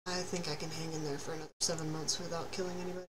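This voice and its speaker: A teenage guy from Texas with a high, youthful voice, chatting loosely while he plays a game on stream. He rambles and pokes fun at himself in a flat, level tone. His headset mic picks up a clear hiss of background noise. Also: very fast. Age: late teens. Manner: rambling, self-deprecating, laid-back. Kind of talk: casual live-stream chat while playing. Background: ambient noise, thunder outside.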